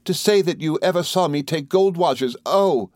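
Man voicing a childish, high-pitched, tearful young wife. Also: normal speed, dry recording, clean studio sound, close to an everyday voice. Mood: indignant. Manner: plaintive, sobbing, exclamatory. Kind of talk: dramatised classic novel reading.

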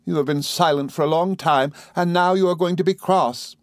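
A man's voice: on the high side, normal speed, dry recording, studio quality, voice pushed up, close to an everyday voice. A man voicing a childish young wife in a classic novel reading, plaintive, petulant and easily wounded, given to sobbing exclamations. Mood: pouting.

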